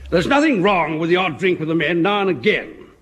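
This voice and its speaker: A bluff upper-class English army officer in a radio comedy. His voice is very high for a man and swings about freely, jovial and commanding. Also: animated, brisk, fairly bright tone. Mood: firm, bluff. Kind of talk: British radio sitcom acting.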